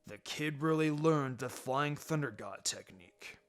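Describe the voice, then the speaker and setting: Narrator putting on gruff lil ninja dog boss, low voice, fanfic story, bit of music behind. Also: lively intonation, measured pace, lightly acted. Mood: grudgingly impressed.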